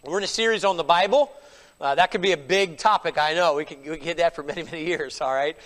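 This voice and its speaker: A man's voice: a little high, quick pace, fairly bright tone. A middle-aged man preaching a sermon as pastor, a little stuffy from a cold, emphatic, with frequent "all right?" asides.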